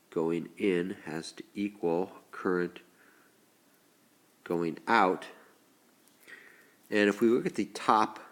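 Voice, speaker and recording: Male teacher, very low voice, congested, stuffed-up nose, slow step-by-step explaining. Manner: slow, deliberate explaining while writing. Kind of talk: recorded classroom lesson.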